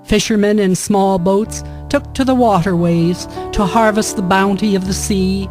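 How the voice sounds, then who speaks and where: A woman narrating a scripted historical tale in a measured, formal storytelling manner. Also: mid-range voice, a little mellow. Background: guitar music.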